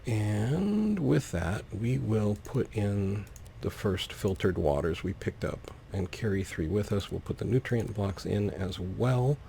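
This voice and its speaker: A man giving casual let's play commentary, thinking aloud in an unhurried, playful way. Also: on the low side, expressive, vocal fry, mellow, a little hiss or hum. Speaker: gaming video host.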